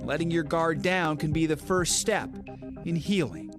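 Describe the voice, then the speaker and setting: A man narrating a news feature as correspondent, measured and serious. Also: a little high, music in the background.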